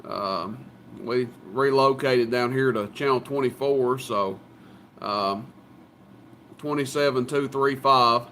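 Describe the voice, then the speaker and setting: A man's voice: mid-range voice, takes it slowly, fairly bright tone, a little hiss or hum. A man chatting casually as the host of a CB radio live stream. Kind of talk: casual chat.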